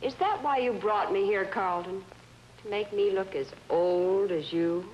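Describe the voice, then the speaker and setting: An actress playing a bitter, world-weary wife who complains in a sarcastic, slangy way, in a period drama. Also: female voice, medium pitch, measured pace, highs cut off, close to an everyday voice. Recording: faint noise on old broadcast.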